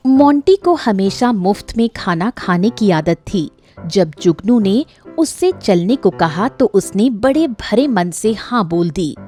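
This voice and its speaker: A woman's voice: medium pitch, expressive, takes it slowly, dark tone. A woman narrating a scripted moral story for children as the storyteller. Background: faint music.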